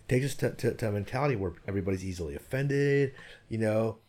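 Guy with a low husky voice, talks fast, blunt and swears a lot. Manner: blunt, profane, emphatic, animated. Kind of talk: casual chat, storytelling.

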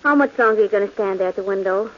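A woman's voice: medium pitch, very fast, close to an everyday voice. An actress playing a worried, devoted girlfriend in a 1940s radio drama, on a dull, hissy old broadcast. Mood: concerned. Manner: pleading, worried. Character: anxious devoted young woman, girlfriend.

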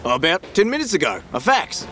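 A man's voice: on the high side, huge pitch swings, brisk, sharp tone, soft background music, full character voice. An actor playing a matey, informal Australian businessman in an audio drama, with breezy slangy talk.

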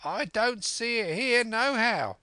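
A man voicing an excited pirate henchman in an audiobook, calling out reports in rough sailor talk. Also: fairly high pitch, takes it slowly, no echo, pitched above the performer's own voice. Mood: alarmed, shouting.

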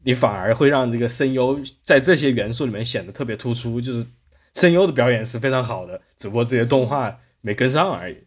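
Fast-talking man in casual discussion. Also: medium pitch, animated. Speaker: podcast host.

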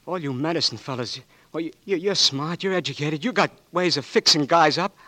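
A man's voice: fairly high pitch, fairly quick. An actor playing a young marine who has just lost his sight, pleading with his doctor and then bitterly refusing help, in a 1940s radio drama. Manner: pleading, breaks into anguished shouting. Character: young man, newly blinded marine, desperate and bitter. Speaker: wounded marine patient. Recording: dry old radio broadcast.

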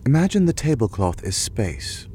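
An actor playing an awkward, earnest scholar with a formal, pedantic manner in an audio drama. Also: male voice, medium pitch, very animated, moderate pace, dark tone, a little hiss or hum, close to an everyday voice. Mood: earnest explaining.

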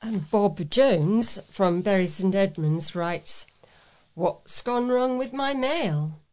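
A woman's voice: mid-range voice, lively intonation, slow pace, a little mellow. A British woman reading readers' letters aloud as a volunteer newsreader, in a scripted read-aloud style. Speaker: volunteer newsreader for a talking newspaper.

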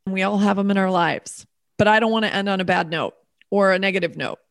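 A woman hosts a podcast in a mid-range voice, talking fast and gushing with enthusiasm. She fills her chat with "like" and "you know" as she interviews a guest over a call. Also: mid-range voice, fairly even intonation, gravelly. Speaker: podcast host.

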